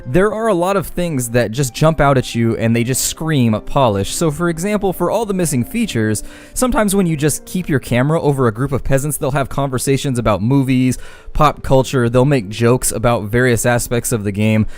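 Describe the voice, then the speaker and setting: A man's voice: medium pitch, lively intonation, a little fast. A man giving casual, chatty let's-play commentary on a game, with folksy slangy phrasing. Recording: game music behind the voice. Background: game music.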